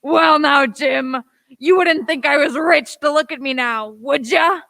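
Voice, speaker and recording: A woman playing a half-mad, excitable marooned old sailor man with rustic pirate speech in a radio play. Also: sits high, a little slow, no echo, exaggerated voice. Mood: excited, pleading. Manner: rustic pirate speech, exclaiming, repeating himself.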